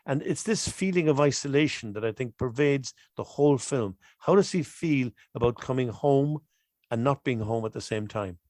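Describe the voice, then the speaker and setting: A man's voice: mid-range voice, moderate pace, darkish tone, no echo, clean studio sound. A man from the west of Ireland with an Irish accent, talking as a podcast guest and repeating phrases for emphasis. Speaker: podcast guest, film expert. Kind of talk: conversational interview commentary.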